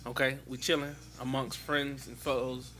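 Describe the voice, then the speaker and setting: A man hosting a casual podcast among friends, talking loud, joking and swearing in slang. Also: medium pitch, little pitch movement, measured pace, faint music behind. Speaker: podcast host.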